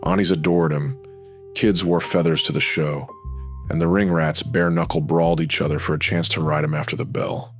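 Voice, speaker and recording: Male narrator, extremely low pitch, ominous, measured, dramatic short phrases, pulp radio drama, clear music bed. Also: level tone. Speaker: narrator and host of horror anthology.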